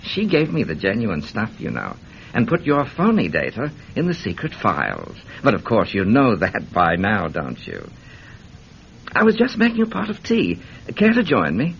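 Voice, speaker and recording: Smug soviet spy boss, sardonic, gravelly, pitch all over the place, old radio play. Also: male voice, wildly swooping pitch, moderate pace, a bit bright, exaggerated voice. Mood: smug, gloating. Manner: sardonic, theatrically polite. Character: suave, mocking male Soviet spymaster, gloating and urbane. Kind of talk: radio drama acting. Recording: dull, faintly noisy radio drama recording.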